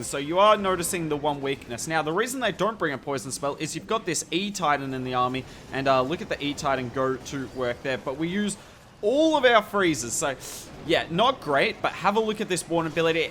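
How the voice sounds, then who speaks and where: Male game commentator, slightly raised pitch, voice a touch worn, upbeat explainer; light background hiss. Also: fairly bright tone. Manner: enthusiastic, conversational, points things out to viewers. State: slightly hoarse voice. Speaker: gameplay commentator. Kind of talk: strategy commentary over gameplay footage.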